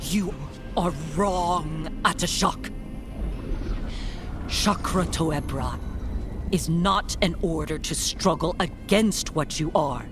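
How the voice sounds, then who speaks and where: Dignified, protective fantasy game mother: solemn, deliberate, slow delivery with music behind. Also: lowish voice. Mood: solemn, firm. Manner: measured, solemn, emphatic. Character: stern, dignified mother defending their child. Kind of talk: dramatic fantasy video game acting.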